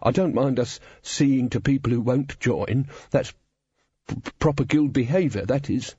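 A man's voice: mid-range voice, measured pace, mellow, slightly rough, dry recording, lo-fi sound, voice pushed up. A man voicing a timid, reluctant henchman in a storybook reading, with a heavy stammer and hesitant, polite delivery. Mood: flustered.

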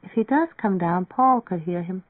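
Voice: mid-range voice, normal speed, dry recording, phone-quality sound, close to an everyday voice. A narrator voicing a tired, restless young woman in an audiobook, with weary, murmured, plaintive lines. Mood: drowsy, wondering. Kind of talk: audiobook character dialogue.

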